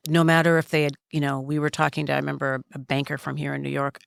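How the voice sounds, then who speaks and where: A woman in her sixties from Minnesota with a low, smoky voice, speaking softly and reflectively as a podcast guest. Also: little pitch movement, fast, creaky, smooth. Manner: soft-spoken, reflective storyteller. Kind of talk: casual conversation. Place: recording studio.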